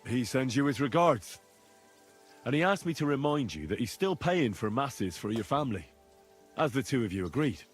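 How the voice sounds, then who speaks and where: An actor playing an earnest, confident medieval adventurer in a video game, husky and courteous in a measured way. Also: male voice, medium pitch, big pitch swings, soft background music, natural voice. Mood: assured. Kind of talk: video game dialogue acting.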